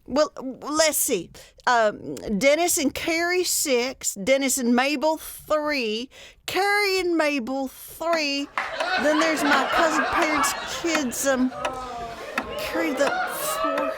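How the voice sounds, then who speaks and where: A woman's voice: high voice, big pitch swings, takes it slowly, heavy background noise, exaggerated voice. An American woman playing an exasperated, long-suffering wife in old-time radio comedy style, chiding her husband dryly. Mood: musing. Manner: dry, chiding.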